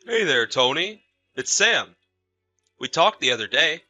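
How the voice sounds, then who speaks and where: A man's voice: a little high, big pitch swings, a little slow, sharp tone, faint music behind, full character voice. A man playing an awkward neighbor with a complaint in a scripted audio story, hesitant and tactful but insistent. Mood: friendly, hesitant. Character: awkward, tired male neighbor making a complaint.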